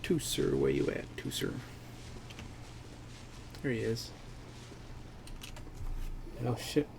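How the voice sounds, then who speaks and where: A man's voice: mid-range voice, steady tone, slow pace, creaky, darkish tone, slight background noise. A man hosting a gaming video, giving casual, laid-back, muttered asides as he plays. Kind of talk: casual let's-play commentary.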